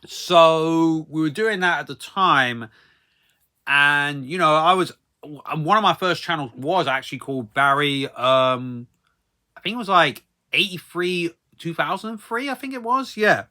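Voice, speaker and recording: A man's voice: a little high, lively intonation, a little slow, pushed and bright. A man in his thirties telling a casual, rambling personal story as a vlogger, hesitant and repetitive, often tailing off into tag phrases.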